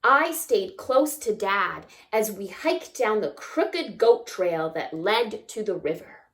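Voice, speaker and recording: A woman's voice: mid-range voice, slow. A woman reading a picture book aloud to young children, expressively, with asides and questions to the listeners. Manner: expressive, acts out sounds and asks the listeners questions. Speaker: story time reader.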